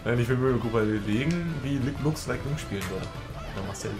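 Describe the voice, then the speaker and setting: A man's voice: medium pitch, animated, brisk. A man co-commentating a racing game, laughing often and teasing his partner, with game music underneath. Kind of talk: casual gaming commentary.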